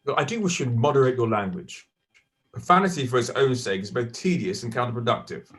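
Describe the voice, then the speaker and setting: A man's voice: medium pitch, lively intonation, a little fast, fairly bright tone, close to an everyday voice. A man playing a posh, dry-witted young man. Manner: precise, dry, condescending. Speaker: actor in a stage play. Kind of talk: audio drama acting.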